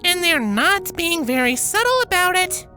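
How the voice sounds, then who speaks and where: Storybook pony mare, acted by a woman: very high, lively, anxious yet earnestly reassuring. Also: normal speed, soft background music, full character voice. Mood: uneasy. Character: anxious, reassuring young female winged pony. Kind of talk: dramatised storybook reading.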